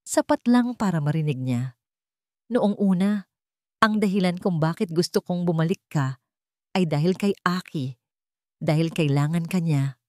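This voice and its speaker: Filipino lady doing a sincere loving guy in a romance story, soft and pleading, dry recording. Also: on the low side, lively intonation, a little slow, pauses often, dry recording. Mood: tender. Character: earnest, tender man, a devoted father in love.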